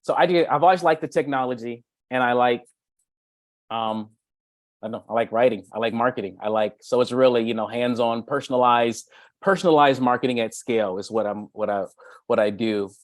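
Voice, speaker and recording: A middle-aged man speaks casually and unscripted about his marketing work. He rambles, doubling back on his phrases, in a medium-pitched voice with a dry, close sound. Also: brisk, no room sound. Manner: rambling, repeats and restarts phrases often. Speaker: B2B marketing consultant. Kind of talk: casual, unscripted self-introduction in a meeting.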